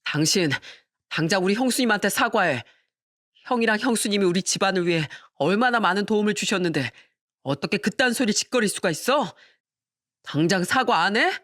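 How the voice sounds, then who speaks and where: A woman's voice: fairly low pitch, expressive, fairly quick, fairly bright tone, dry recording, clean studio sound. A woman voicing an outraged younger brother who sternly berates his wife in a family drama story. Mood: outraged, demanding. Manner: stern, demanding, indignant.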